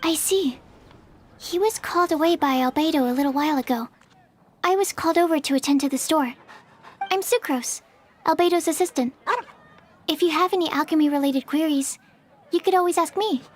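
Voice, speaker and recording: A shy young alchemist's assistant with a very high voice, played by a woman. She stammers and apologizes often and speaks timidly. It is video game voice acting. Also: brisk, long pauses, close to an everyday voice. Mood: nervous.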